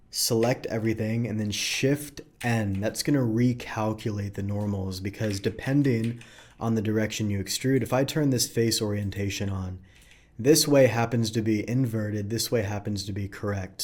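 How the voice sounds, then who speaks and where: A man with a fairly low voice, slightly raspy, talking through a software tutorial in an easygoing, think-aloud way. Keyboard typing clicks along under his speech. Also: normal speed. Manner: relaxed, thinks aloud while working, frequent fillers. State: slightly raspy from long recording sessions. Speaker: 3D modeling tutorial host. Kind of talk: casual screen-recorded software tutorial.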